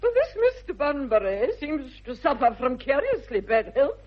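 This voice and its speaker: An actress playing an imperious, snobbish middle-aged English aristocratic aunt in a 1960s radio comedy, with haughty, grand emphasis. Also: female voice, high voice, very animated, moderate pace. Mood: imperious, exasperated. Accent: upper-class English. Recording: slightly dull vintage radio recording.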